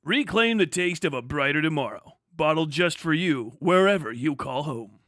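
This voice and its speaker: Guy doing a cheesy 50s style soda ad man, bright voice, slow hammy selling. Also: on the high side, expressive, no room sound, studio quality. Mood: upbeat selling. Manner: slow, hammy salesman delivery. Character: cheery retro-futuristic soda commercial pitchman, male. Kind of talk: mock 1950s advertisement read.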